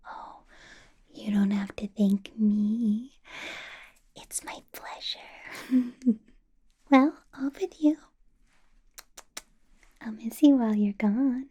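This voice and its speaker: A woman playing a doting, possessive female vampire boss in an intimate ASMR roleplay, coaxing and flirting in a breathy whisper. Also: a little high, drawn-out words, pauses often, dark tone, full character voice. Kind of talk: ASMR roleplay, personal attention.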